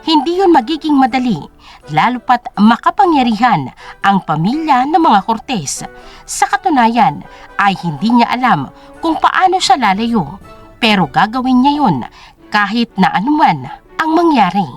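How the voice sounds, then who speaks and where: A woman's voice: a little high, big pitch swings, moderate pace, faint music behind. A woman narrator reading a scripted story in a dramatic storytelling style.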